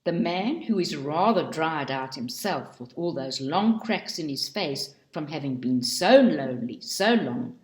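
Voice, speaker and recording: A woman reading a book aloud in a slow, deliberate and expressive way. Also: sits low. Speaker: reader. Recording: roomy echo.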